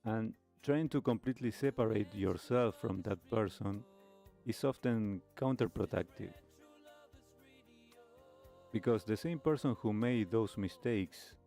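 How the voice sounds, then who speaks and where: A man with a low, creaky voice hosts a reflective talk segment. He speaks in short, measured clauses with frequent pauses and a mellow, soft-edged tone. Guitar music plays behind him. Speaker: host of a live retro music talk show. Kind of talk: reflective commentary, reacting to a video.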